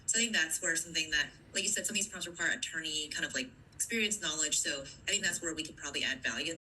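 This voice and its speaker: A woman's voice: low-pitched, fast talker, sharp tone, slight background noise, low-quality recording. A woman speaking in a recorded work meeting, hedging her points in loose conversational phrasing. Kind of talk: recorded workplace discussion.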